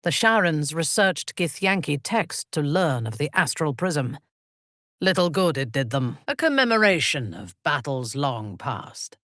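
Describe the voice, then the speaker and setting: A woman playing a haughty, menacing female warrior and former cultist in a video game, speaking in formal, imperious, disdainful phrases with a husky, breathy voice. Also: sits low, big pitch swings, unhurried, fairly bright tone, no room sound, studio quality, full character voice. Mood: dry, disdainful. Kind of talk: video game character voice lines.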